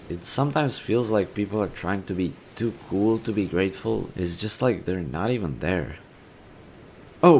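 A male actor plays a kind, bashful young man with a low voice in an intimate audio roleplay. He talks gently and casually to the listener, pausing to find his words. Faint noise and ambience sit behind him. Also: gravelly.

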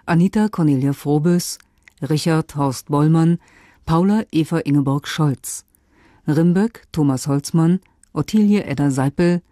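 Announcer for drama credits: formal, mellow, dry studio sound. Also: medium pitch, unhurried, no echo. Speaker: radio announcer.

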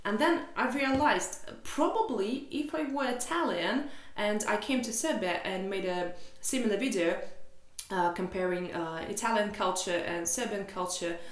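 A woman's voice: mid-range voice, normal speed. Young Russian woman, frank vlog to camera, sarcastic edge, some echo from the room. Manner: exasperated, candid, sarcastic, swears freely. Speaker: vlogger. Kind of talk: casual chat to camera. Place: small room indoors.

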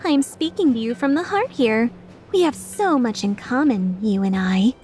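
A woman's voice: fairly high pitch, lively intonation, normal speed, a little mellow, slight background noise, lightly acted. A woman voicing a playful, taunting young mercenary villain in a game fan dub, sardonic and mock-sincere. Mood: playful persuasion.

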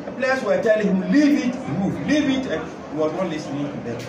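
A man's voice: sits high, sing-song, fairly quick, highs cut off. A middle-aged man, a football coach answering at a post-match press conference, emphatic and repeating his points. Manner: emphatic, repeats key points, gestures while talking. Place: indoor press room with sponsor backdrop. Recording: echoey room, mic far off, loud crowd noise.

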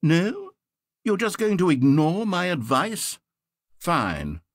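An actor voicing a video game's narrator: a man with a polite, theatrical manner, breathy and fretful. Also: sits high, huge pitch swings, slow, no echo, full character voice. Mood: wheedling. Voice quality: breathy, dry close-miked studio voice. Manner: polite, fretful, swinging between grandeur and self-doubt. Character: fussy, theatrical male narrator of a video game. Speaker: game narrator. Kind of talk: scripted game voice acting. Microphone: studio microphone.

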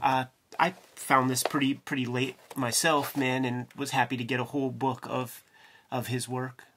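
A man's voice: medium pitch, flat delivery, normal speed, fairly bright tone, slight background noise. A man in casual chat about comics, enthusiastic and slangy, saying "man" and "dude" often. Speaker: co-host.

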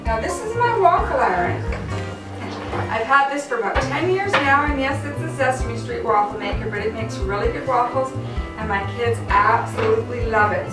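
Middle-aged woman, home cook, chatty instruction, highish voice, distant roomy sound. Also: lively intonation, a little fast, loud music behind the voice. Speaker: home cook hosting a cooking show. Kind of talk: casual cooking demonstration. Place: home kitchen, indoors. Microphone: camera mic at a few metres. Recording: distant, roomy, loud kitchen clatter and noise.

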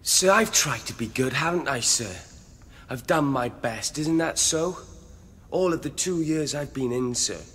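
An actor playing a sly, cheeky young prisoner who feigns humble piety in slangy speech, in a radio drama. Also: male voice, a little slow, a bit bright, husky. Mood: pious pleading. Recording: echoey hall with rumbling background noise.

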